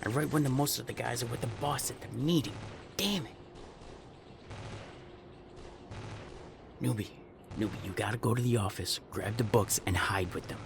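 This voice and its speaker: A man playing a brash, blunt, foul-mouthed gang member in an audio roleplay, with a gravelly voice over a noisy backdrop. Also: fairly low pitch. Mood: urgent. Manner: casual, blunt, streetwise.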